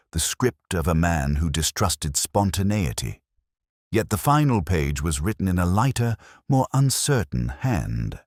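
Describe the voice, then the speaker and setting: Very deep-voiced man narrating a detective story. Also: a little mellow, no echo, clean studio sound. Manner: measured, literary, reflective delivery. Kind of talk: scripted first-person narration, literary detective audio drama.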